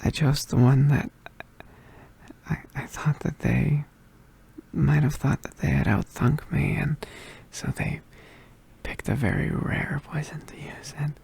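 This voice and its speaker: A man playing a badly injured, weary young male witch in an ASMR roleplay, murmuring haltingly in a weak, pained voice. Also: on the high side, gravelly, darkish tone, breathy edge, full character voice. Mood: relieved, drained. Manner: halting, hesitant, murmured, understated. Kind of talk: ASMR roleplay, soft intimate whispering.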